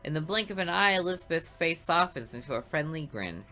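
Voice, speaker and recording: A woman's voice: sits low, lively intonation, fairly quick, bright, forceful tone, soft background music. A woman reading a visual novel aloud, narrating in a dry, sarcastic first person.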